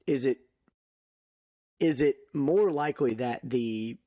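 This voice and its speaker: A man in his thirties talks through a casual podcast chat in a mid-pitched voice. He is upbeat and loose, and keeps dropping in "um" and "like" as he goes. The recording sounds close and dry, with no room echo. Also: a little mellow. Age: 30s. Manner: chatty and upbeat, full of "um" and "like" fillers. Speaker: podcast co-host.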